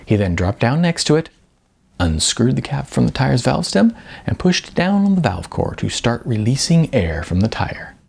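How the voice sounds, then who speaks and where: A male author narrates his novel as an audiobook. His mid-range voice is husky and creaky, and it moves up and down a great deal as he reads. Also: medium pitch, very animated, normal speed, darkish tone. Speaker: author reading own novel.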